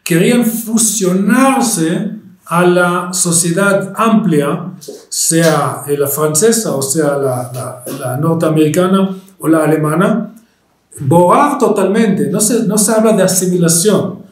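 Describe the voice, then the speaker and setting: An Israeli historian with a Spanish accent picked up in Argentina, lecturing and pausing often with fillers and restarts. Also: male voice, high voice, echoey room. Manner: hesitant, many fillers and restarts.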